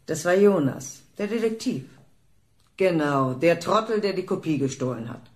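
A woman's voice: fairly low pitch, animated, normal speed, natural voice. An actress playing a cold-blooded daddy's girl villain in a radio crime drama, commanding and sweetly cooing. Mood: sugary, coaxing.

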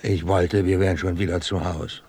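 A man's voice: sits low, level tone, normal speed, heavy background noise, lightly acted. A man playing a hungry, down-to-earth squire in a comic rhymed radio play, plain-spoken and comically plaintive. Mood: grumbling.

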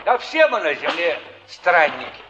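An actor playing a kindly, sly old wandering pilgrim in a stage drama, folksy and philosophizing. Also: male voice, fairly high pitch, sing-song, a little slow, background noise. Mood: musing, amused. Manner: folksy, chuckling, philosophizing in sayings. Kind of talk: theatrical stage acting. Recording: dull 1950s-70s stage recording, long hall reverb.

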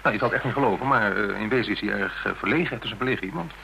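A low but bright male voice for a radio drama. He is a well-meaning, loyal friend, hesitant and a little formal, stammering in his fluster. There is faint noise on the recording. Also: rapid-fire, vocal fry, low-quality recording. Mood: hesitant, awkward. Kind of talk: radio play dialogue.

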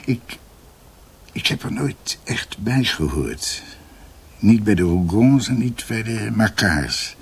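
An actor playing a reflective doctor in a radio drama, haltingly recalling his family as an outsider. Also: fairly low pitch, lively intonation, husky, full character voice.

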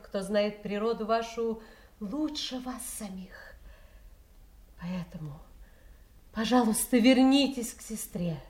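Холодную принцессу, речь медленная. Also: female voice, fairly high pitch, roomy sound. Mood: stern. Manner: measured, formal, reproving. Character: cold, haughty grown princess, stern daughter. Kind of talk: classical stage tragedy, audio play acting.

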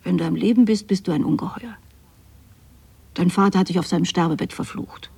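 A woman's voice: fairly low pitch, brisk, vocal fry, mellow, breathy edge, exaggerated voice. An actress playing a bitter, anxiously possessive mother in a radio drama, addressing her absent son in a plaintive, accusing way. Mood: bitter, anguished. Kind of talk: literary radio drama monologue.

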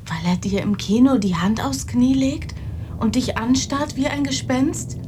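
A woman's voice: unhurried, warm tone. An actress playing a timid, naive young woman full of doubt in a radio drama, speaking meekly and briefly. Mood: puzzled. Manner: meek, hesitant, terse. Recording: rumbling noise behind.

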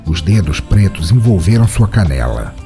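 Deep, dark-voiced male narrator. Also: big pitch swings, moderate pace. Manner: dramatic, suspenseful storytelling. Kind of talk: scripted audiobook narration. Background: soft background music.

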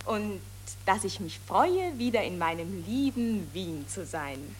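An actress playing a gracious, effusive yet politely firm stage star in a 1940s radio play, with polished theatrical delivery. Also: female voice, medium pitch, very animated, slow, slightly rough, heavily acted.

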